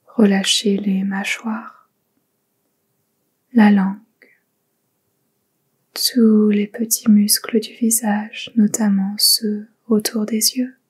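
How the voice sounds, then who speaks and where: Very breathy, slow-paced woman guiding meditation. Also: mid-range voice, flat delivery. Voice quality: breathy, whispery. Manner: slow, gentle, soothing instructions with pauses. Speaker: yoga nidra meditation guide. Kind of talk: guided relaxation meditation, soft and whispery.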